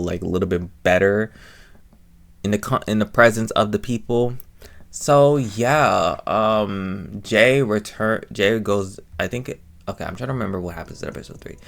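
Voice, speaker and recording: A man's voice: low-pitched, creaky. A man giving casual, off-the-cuff reaction commentary as a host, rambling and repeating his phrases as he goes.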